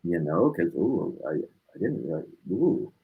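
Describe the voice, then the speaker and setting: A man with a fairly low, husky voice speaks as an interview guest. His talk is casual and reflective. He is emphatic and circles back to repeat his key points. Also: very animated, unhurried, phone-quality sound.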